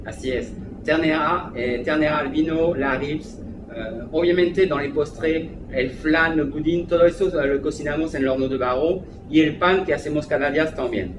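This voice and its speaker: Brief: French-accented man, hesitant restaurant manager, slightly high voice, echoey noisy room. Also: normal speed. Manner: hesitant, many fillers. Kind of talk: interview. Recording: echoey room, background noise.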